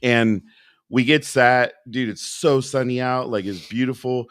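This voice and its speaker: A man in his forties, co-hosting a podcast and telling stories in a casual, animated way, acting out other people's voices. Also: medium pitch, a little slow, smooth, dry recording, clean studio sound. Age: 40s. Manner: animated storyteller, acts out quoted voices. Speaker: podcast co-host.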